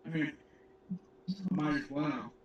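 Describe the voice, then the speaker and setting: Man, slightly higher voice, joking co-host, roomy echo. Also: fairly even intonation, slow, muffled recording, slight background noise. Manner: joking, chatty. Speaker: livestream co-host. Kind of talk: casual chat.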